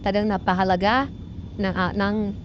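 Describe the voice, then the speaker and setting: A woman with a Filipino accent gives an informal technical tutorial as a vlogger, explaining in a halting, repetitive way with English technical terms mixed in. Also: medium pitch, flat delivery. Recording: muffled lo-fi audio with background noise.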